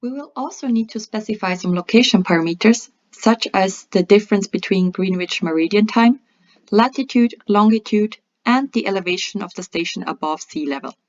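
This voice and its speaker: A woman's voice: medium pitch, normal speed. Woman narrating a tutorial, dull lo-fi recording. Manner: methodical, step-by-step instructional delivery. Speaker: tutorial presenter. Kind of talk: scripted software tutorial narration. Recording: dull lo-fi voice-over.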